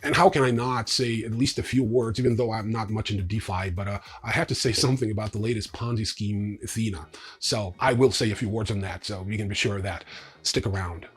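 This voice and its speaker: A male host with a fairly low, distinctly raspy voice. He presents news items from prepared copy and comments informally as he goes, slipping in wry asides. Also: brisk. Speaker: crypto news show host. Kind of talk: semi-scripted news recap commentary.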